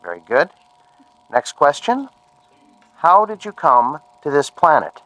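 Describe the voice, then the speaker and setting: A man's voice: fairly high pitch, huge pitch swings, a little slow, a bit bright, full character voice, poor audio. A man playing a polite, methodical scientist in a radio drama, formal and precise as he gives instructions. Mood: probing, courteous.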